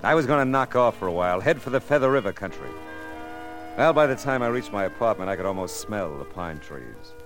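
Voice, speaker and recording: An actor playing a world-weary, wisecracking private detective with an American accent and a sardonic, clipped delivery in 1940s radio noir style. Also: male voice, on the low side, lively intonation, fairly quick, faint music behind, natural voice. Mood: wry narration.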